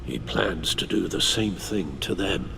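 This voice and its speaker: A man's voice: sits low, lively intonation, unhurried, gravelly, background noise, full character voice. A man playing an elderly, dutiful British butler in a video game, speaking formally and carefully with fatherly gravity. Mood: mournful. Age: older. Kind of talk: dramatic video game acting.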